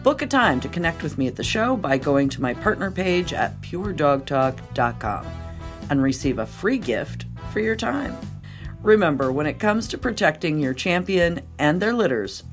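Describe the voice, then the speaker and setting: A low-voiced woman hosts a podcast with emphatic enthusiasm. She moves between scripted promo reads and hosting, with faint music around her voice. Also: sing-song, normal speed. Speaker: podcast host.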